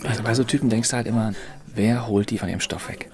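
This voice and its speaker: An actor playing a sardonic, joking barfly who talks colloquially and repeats himself in a naturalistic radio play. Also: male voice, fairly low pitch, fast talker, crowd noise, close to an everyday voice. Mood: mocking, joking.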